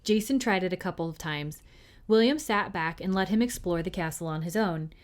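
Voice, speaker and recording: A woman's voice: on the low side, brisk. A woman reading a children's novel aloud as narrator for a library storytime.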